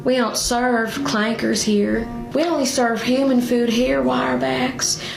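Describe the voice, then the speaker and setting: A woman's voice: fairly high pitch, fairly even intonation, unhurried, creaky. A woman playing a snooty, dismissive 1950s server turning robots away in a retro parody skit, in a roomy echo with music. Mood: scornful. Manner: scornful, dismissive.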